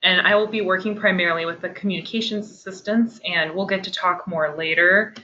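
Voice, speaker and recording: Woman, low-pitched, bright tone, webinar coordinator, dull audio with faint noise. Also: fairly even intonation, brisk. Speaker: communications coordinator. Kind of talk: online training webinar.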